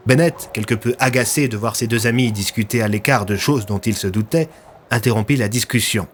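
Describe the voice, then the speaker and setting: A man's voice: fairly low pitch, fairly quick, slight background noise. A man narrating a scripted audio drama as a storyteller.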